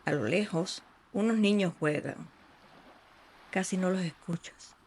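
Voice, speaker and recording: A meditation guide speaking in a soothing, unhurried way with long pauses between short phrases. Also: mid-range voice, a little mellow, slight background noise. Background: ambient sea sounds.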